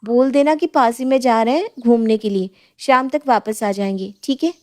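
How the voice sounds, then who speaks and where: A woman voicing an eager, sweet young newlywed daughter-in-law in a story, excitable and coaxing. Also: fairly high pitch, moderate pace, close to an everyday voice.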